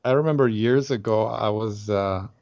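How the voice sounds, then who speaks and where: A man's voice: lowish voice, normal speed, a little mellow, no room sound, muffled recording. A man from Aruba who organizes group trips, chatting in an interview with many hesitant fillers and restarts. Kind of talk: casual interview chat.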